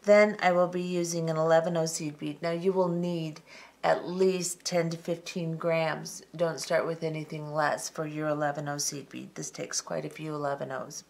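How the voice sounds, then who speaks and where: Lower-voiced middle-aged woman walking through a beading project, calm and methodical, lots of ums. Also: normal speed, vocal fry. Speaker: beading tutorial host. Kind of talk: step-by-step craft tutorial. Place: tabletop craft workspace, indoors.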